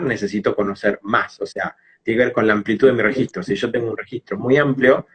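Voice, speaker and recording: Male interviewee, Rioplatense Argentine teacher. Slightly low, husky voice, didactic explanatory delivery. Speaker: English teacher and phonetics specialist being interviewed.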